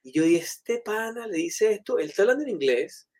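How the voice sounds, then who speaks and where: A man tells stories in a loose, animated way, full of fillers and asides. His voice sits a bit higher than most men's. The tone is chatty and conversational. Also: a little fast. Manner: animated, anecdotal, many fillers. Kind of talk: casual storytelling in conversation.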